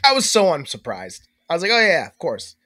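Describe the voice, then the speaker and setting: A man's voice: on the high side, brisk, a crowd in the background. A young man co-hosting a podcast in casual chat, congested and stuffed up, rambling and cutting himself off as he talks. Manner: rambling, self-interrupting, animated. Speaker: podcast co-host.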